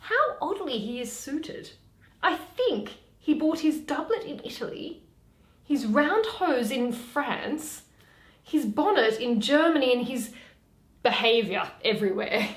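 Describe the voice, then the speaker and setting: A witty young heiress of Shakespearean drama, performed by a woman, speaks in a fairly high voice. She is arch, theatrical and scornful of her suitors, teasing as she goes. The room around her rings with echo. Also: expressive, slow. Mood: mocking. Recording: roomy, echoey home recording.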